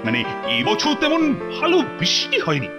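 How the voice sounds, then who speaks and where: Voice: medium pitch, huge pitch swings, normal speed. An actor voicing a fussy, easily persuaded middle-aged king in a cartoon, stammering and repeating words. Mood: preoccupied, worried. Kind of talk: animated cartoon dialogue. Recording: loud music behind the voice.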